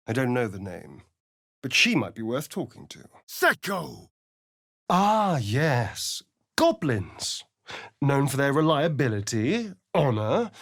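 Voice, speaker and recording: Sly british vampire rogue from a video game, vain n sardonic, pitch all over the place, super dry recording. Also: male voice, dry recording, heavily acted. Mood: arch, sardonic, then forceful incantation. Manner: arch, sardonic, flamboyant delivery.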